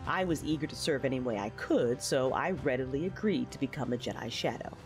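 A woman's voice: extremely low pitch, animated, a little fast, soft background music. A woman playing a reflective, war-weary young female knight, narrating her memories earnestly in an audio drama. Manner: earnest, reminiscing.

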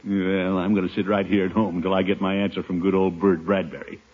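An actor playing a flustered, blustering middle-aged American uncle in 1950s radio comedy, stammering and indignant, with nervous chuckles. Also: male voice, deep voice, level tone, a little fast. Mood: worried, grumbling. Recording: tinny old 1950s radio recording.

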